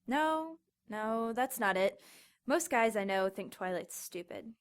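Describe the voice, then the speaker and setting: An actress playing a chatty, casually sarcastic young motel desk clerk in an audio drama. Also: female voice, fairly high pitch, lively intonation, slow pace, clear, resonant tone. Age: young adult. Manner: casual, sarcastic, then terrified.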